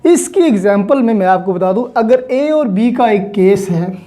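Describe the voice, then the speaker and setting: A woman's voice: medium pitch, lively intonation, moderate pace, mellow. A woman with a Pakistani accent giving an explanatory law lecture, mixing in English terms and repeating points in a didactic way.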